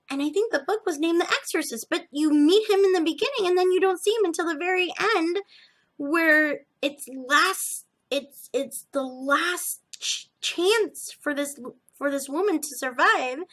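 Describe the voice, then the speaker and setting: A young woman with a slightly gravelly edge, chatting casually and emphatically as a podcast host, often restarting her sentences. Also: extremely high pitch. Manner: animated, emphatic, self-interrupting. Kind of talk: casual rambling chat to viewers.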